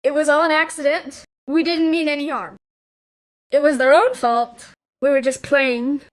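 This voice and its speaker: A woman's voice: sits high, fairly even intonation, moderate pace, close to an everyday voice. A female performer playing a frightened, defensive young schoolgirl in a crime radio play, protesting and pleading.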